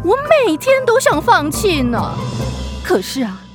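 A woman's voice: very high-pitched, huge pitch swings, measured pace, music in the background, heavily acted. A woman voicing a kindly, gushing witch aunt who runs a circus, in a children's radio drama. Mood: fond, encouraging. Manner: gushing, chatty, full of exclamations. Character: kindly, bubbly witch aunt, frog-doting circus ringleader.

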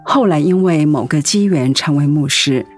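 A woman's voice: sits low, moderate pace, faint music behind. A woman acting a caring, polite middle-aged pastor's wife in a conversational radio drama style. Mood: polite, reflective.

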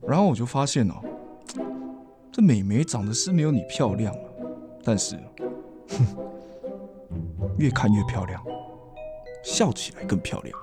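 A man's voice: mid-range voice, expressive, unhurried, pauses often, music in the background. An actor playing a chatty, good-hearted young man in a radio drama, rambling colloquially with a Taiwanese Mandarin accent. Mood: bashful, excited. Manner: colloquial, rambling, sentence-final particles.

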